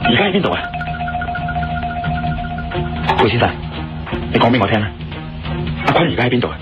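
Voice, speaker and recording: A man's voice: medium pitch, big pitch swings, racing, lightly acted. A man with a Hong Kong Cantonese accent playing a persistent, probing investigator in a radio drama. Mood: pressing, urgent. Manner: probing, persuasive. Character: male investigator, persistent, reasoning, questioning a suspect. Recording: lo-fi radio sound with music behind.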